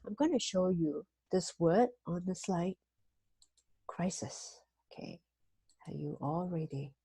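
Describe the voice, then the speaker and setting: Gentle woman, mellow and breathy. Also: fairly low pitch, animated, no room sound. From Singapore. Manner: gentle, measured, frequent "you know" fillers. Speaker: host giving weekly life tips. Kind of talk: motivational talk with slides.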